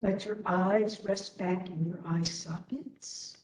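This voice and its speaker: A woman leading a guided meditation as instructor, gently and unhurriedly, leaving long pauses between phrases. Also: lowish voice, fairly even intonation. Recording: roomy, distant webinar audio.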